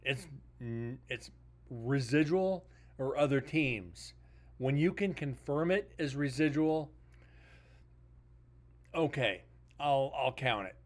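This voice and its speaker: A man's voice: medium pitch, lively intonation, slow, long pauses. A man reviewing paranormal evidence on a livestream, chatting casually in a blunt, joking way.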